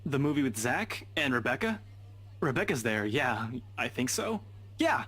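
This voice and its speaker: An actor playing a proud but awkward young man in a visual novel game, gruff and sheepish. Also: mid-range voice, big pitch swings, moderate pace, a bit bright. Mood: flustered, apologetic.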